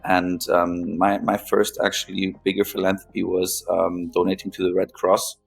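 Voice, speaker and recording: Deep, gravelly man speaking hesitantly. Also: monotone, soft background music. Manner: hesitant, pausing often between phrases. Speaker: podcast guest, philanthropist. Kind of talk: interview conversation, recounting own experience.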